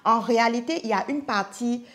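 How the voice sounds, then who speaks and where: African woman guest on a podcast, higher voice, punchy and emphatic. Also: a little fast, fairly bright tone. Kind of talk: casual chat.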